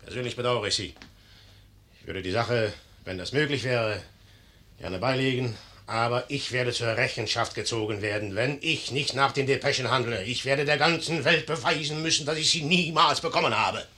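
An actor playing a commanding, shrewd and sardonic young general in a 1950s German radio play. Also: male voice, mid-range voice, moderate pace, sharp tone, natural voice. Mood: cool, sly.

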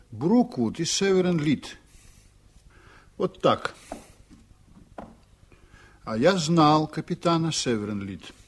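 An actor playing a weary, brooding middle-aged ship captain in an old radio drama, speaking heavily and thoughtfully. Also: male voice, fairly high pitch, slight background noise. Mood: troubled. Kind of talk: 1980s radio drama acting.

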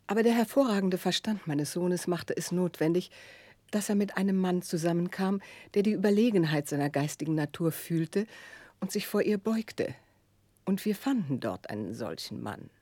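A woman's voice: on the low side, dark tone, no echo. An actress playing a proud, middle-aged mother who dotes on her son, speaking in a stately, formal radio-drama manner. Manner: stately, formal, self-assured. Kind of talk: 1960s German radio drama acting.

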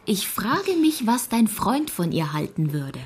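A woman's voice: medium pitch, sing-song, measured pace, breathy edge. A young-sounding woman playing an earnest, loyal, politely stubborn teenage girl in a German radio drama. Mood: polite, sceptical.

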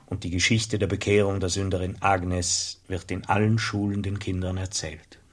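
An actor playing a stately, admiring provincial bishop in a German radio drama, speaking in a solemn, lofty, declamatory manner. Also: male voice, deep voice, flat delivery, moderate pace. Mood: reverent praise.

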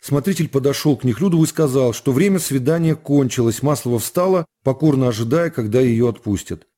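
A man's voice: mid-range voice, fairly quick, dark tone, big-room sound. A man reading scripted literary prose as a dramatic narrator for a radio production. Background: music.

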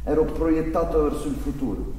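A man's voice: fairly high pitch, animated, brisk, mellow, lightly acted. Actor playing a troubled young man, very echoey stage. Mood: reflective, restless. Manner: dramatic storytelling with hesitant breaks and repetitions. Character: troubled 25-year-old German son of a Nazi doctor, agitated. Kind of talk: theatrical first-person monologue. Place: reverberant theatre space, recorded at a distance. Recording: echoey room from far off, faint noise.

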